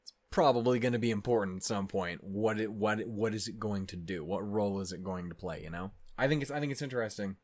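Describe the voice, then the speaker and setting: A man giving casual, off-the-cuff commentary to viewers as a reaction host, with animated asides and frequent swearing. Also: on the low side, quick pace, dry recording.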